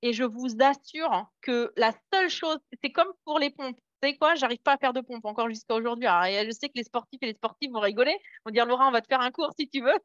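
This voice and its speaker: A woman's voice: on the high side, fast, hardly any pauses, fairly bright tone, no room sound, dull audio. Hesitant, encouraging French-speaking woman trainer. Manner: hesitant, many fillers and restarts, encouraging. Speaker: network marketing team trainer. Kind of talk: casual motivational training talk.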